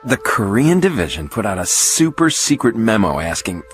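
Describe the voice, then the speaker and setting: A man's voice: lowish voice, sing-song, moderate pace, soft background music. An actor playing an enthusiastic father in a radio drama, eager, reassuring and persuasive. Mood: proud, tentative. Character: enthusiastic, persuasive father sold on a new gadget.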